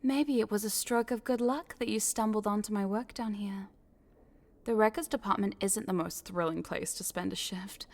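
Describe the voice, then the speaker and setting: A woman plays a scheming female corporate boss in an intimate audio roleplay, speaking straight to the listener at a medium pitch. She is smug and controlling, with a businesslike tone that turns teasing and condescending as she coaxes. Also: fairly even intonation, normal speed, close to an everyday voice. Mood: smug, coaxing. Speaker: voice actor.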